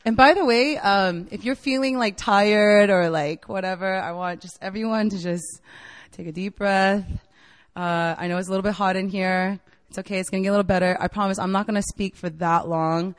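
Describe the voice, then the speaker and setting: A woman's voice: medium pitch, steady tone, moderate pace, big-room sound. A woman in her late twenties, a campus ministry staff member, giving a casual, enthusiastic sermon to college students in a university meeting room, full of "like" and "right?". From United States (Virginia). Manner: chatty and enthusiastic, filler-heavy with frequent "like" and "right?".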